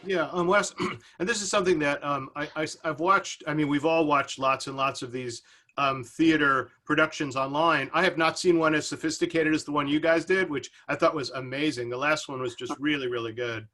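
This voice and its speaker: A man with a slightly high, bright, forward voice talks casually as a seminar panelist. He rambles, working his thoughts out aloud as he goes. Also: fairly even intonation, normal speed. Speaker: seminar panelist asking a question. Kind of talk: casual discussion on a video call. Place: video call.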